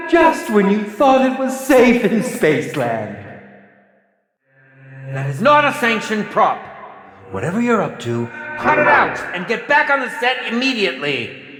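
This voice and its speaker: A cruel, pompous movie director from a video game, high-voiced and swooping wildly between sneer and shout. He draws his words out slowly with theatrical, sarcastic condescension, ringing through a reverberant hall. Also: heavily acted. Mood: irritated, demanding. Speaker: film director and announcer character in a video game. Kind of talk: video game villain announcer voice acting. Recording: echoey hall reverb over music.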